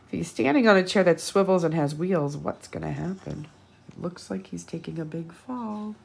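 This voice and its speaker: A woman reading a picture book aloud to children expressively, pausing for asides and questions to her young listeners. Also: lowish voice, vocal fry, darkish tone, slight background noise. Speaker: children's storytime host.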